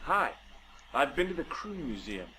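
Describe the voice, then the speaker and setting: An actor playing a curious, easygoing male amateur investigator in an adventure game, with an expressive, inquisitive delivery. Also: on the high side, moderate pace, slight background noise, low-quality recording. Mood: upbeat, eager. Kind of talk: scripted adventure game dialogue. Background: game music.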